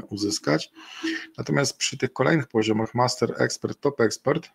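Middle-aged man, gravelly webinar presenter on a webcam, halting delivery full of fillers. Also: lowish voice. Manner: halting, many fillers and restarts. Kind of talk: slide presentation. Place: indoor room. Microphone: video call webcam.

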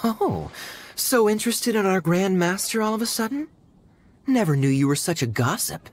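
A man voicing a suave young male knight captain in a video game, with a smooth, teasing manner. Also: sits high, lively intonation, moderate pace, soft background music. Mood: teasing, amused.

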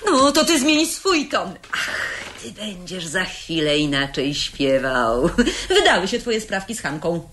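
An actress playing a sly, sharp-tongued young woman in a 1970s radio drama, sarcastic and theatrically taunting. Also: on the low side, sing-song, measured pace. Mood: threatening. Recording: echoey, noisy 1970s radio recording.

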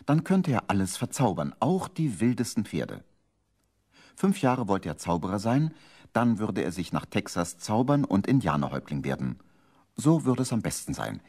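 A man's voice: lowish voice, big pitch swings, moderate pace, darkish tone. A man narrating a children's radio play as its scripted storyteller. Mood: storytelling.

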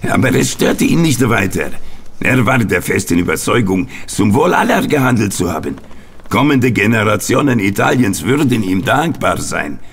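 A man's voice: on the low side, sing-song, moderate pace, slightly rough, heavily acted. An actor playing a hot-tempered Italian man confessing a failed mission in an audio drama. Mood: bitter recounting. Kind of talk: German radio play acting.

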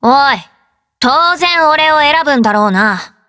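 Game role: hot-headed girl fighter, boyish wording, high animated voice, defensive and blunt. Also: unhurried, exaggerated voice. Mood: brash, flustered. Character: brash, hot-tempered tomboy girl fighter who hides embarrassment. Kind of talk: game character voice lines.

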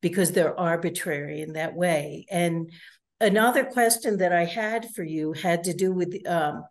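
A woman interviewer asking long, measured questions over a video call from home, often saying "you know". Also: on the low side. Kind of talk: conversational interview.

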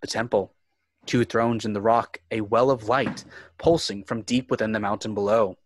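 A man's voice: fairly low pitch, fairly even intonation, moderate pace, dry recording. A man reading scripted screenplay narration, describing scenes and action. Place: indoors on a home video call.

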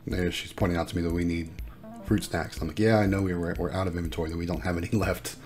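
A man's voice: sits low, little pitch movement, fast talker, a little mellow, faint music behind. A man narrating a casual vlog in an upbeat, appreciative way, explaining things as he goes. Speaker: vlogger and vending machine operator.

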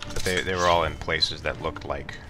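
A man casually commenting on his gameplay, a little hoarse from a cold, talking in a relaxed, halting way with many ums. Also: very deep voice. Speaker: let's play gamer. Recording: game music playing behind.

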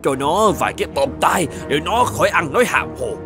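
A man's voice: fairly high pitch, big pitch swings, brisk, over music. A man voicing a mocking, leering kidnapper thug with a drawling delivery in a children's cartoon. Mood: mocking, menacing. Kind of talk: exaggerated cartoon villain acting.

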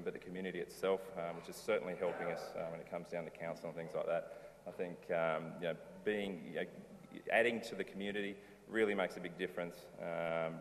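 Deep-voiced man in a hall. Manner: loose, conversational, many ums and restarts. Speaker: conference speaker, brewery owner. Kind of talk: conference talk with slides. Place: large dark auditorium stage. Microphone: lectern mic, filmed from far in the hall. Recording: reverberant hall, distant camera.

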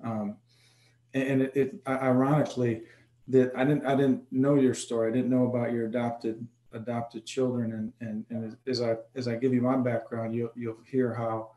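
A man in his forties from Detroit speaks on a webcam video call from his office, his voice mellow and fairly flat. He is friendly and often repeats words as he starts his sentences. The room around him is audibly echoey. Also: mid-range voice, moderate pace. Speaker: athletic director. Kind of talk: personal story on a video call. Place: office, indoors.

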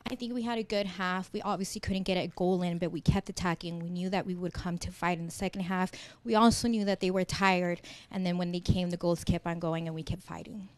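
A woman interpreting a player's answers into English at a press conference, steady and plain. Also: on the low side, normal speed, few pauses. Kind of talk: consecutive interpretation.

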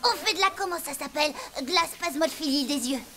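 Very high-voiced naive little kid played by a woman. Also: brisk, close to an everyday voice. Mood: puzzled. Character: naive, earnest small child. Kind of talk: French cartoon dubbing.

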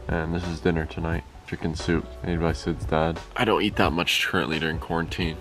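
Laid-back young man, low voice. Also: level tone, a little fast, fairly bright tone, soft background music. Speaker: vlogger. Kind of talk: casual vlog chat. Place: home and outdoors, sunny villa. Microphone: camera held at arm's length.

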